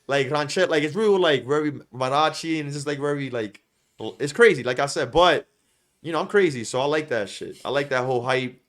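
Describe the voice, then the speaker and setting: A man's voice: on the high side, a little fast, fairly bright tone. A young man chatting casually as a podcast host, rambling with lots of filler and repeating himself. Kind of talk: casual chat.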